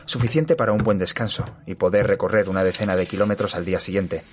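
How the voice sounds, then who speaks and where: An actor playing a weary surveyor who narrates an eerie horror tale in a measured, literary, sombre way. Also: male voice, low-pitched, steady tone, slight background noise, lightly acted. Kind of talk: dramatic first-person audio drama narration. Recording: music behind the voice.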